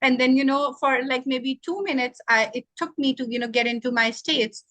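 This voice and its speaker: A woman's voice: sits high, steady tone, normal speed, pushed and bright, no echo. A woman from Pakistan, a guest NLP trainer speaking earnestly and reflectively in a conversational interview, with many "you know" fillers. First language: not English.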